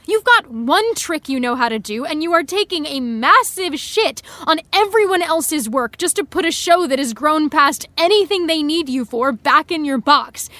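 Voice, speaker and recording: An outspoken young woman in a naturalistic audio drama. Her voice is high and close-miked in a dry space, by turns halting and bluntly indignant. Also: lively intonation, no room sound. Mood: indignant anger. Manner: halting, then bluntly forceful.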